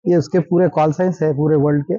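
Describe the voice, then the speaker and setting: A man's voice: a little high, steady tone, quick pace, dark tone, dry recording. A man with a Hyderabadi accent explains things in a casual interview, mixing English terms into his speech. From Hyderabad, India. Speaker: vintage jeep owner and ham radio operator being interviewed. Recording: dull, dry lo-fi recording.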